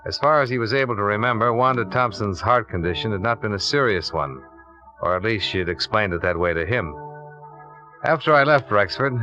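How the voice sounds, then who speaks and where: A man's voice: fairly low pitch, pushed and bright. A man playing a cool, businesslike insurance investigator in a 1950s radio detective drama, speaking in a clipped, matter-of-fact way. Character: cool, businesslike male insurance investigator narrating his case. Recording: muffled old radio recording, music.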